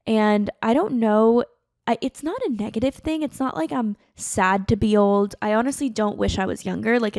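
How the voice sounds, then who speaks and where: Podcast host, woman, late 20s, slight vocal fry, close and dry sound, earnest solo chat. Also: fairly high pitch, darkish tone, dry recording. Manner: chatty, earnest, heavy on fillers. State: tearful, emotional. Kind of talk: casual solo podcast monologue.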